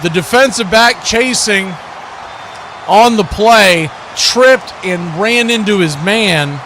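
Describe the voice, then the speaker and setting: Male football play-by-play host, high voice, live stream with clear background noise. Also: takes it slowly, bright, forceful tone. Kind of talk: live American football commentary.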